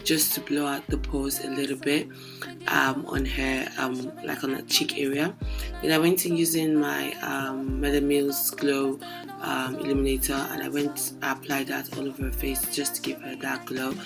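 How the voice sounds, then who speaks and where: A young woman makeup artist with a hoarse, lost voice, narrating a tutorial with many hesitant ums. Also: very deep voice. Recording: music playing underneath.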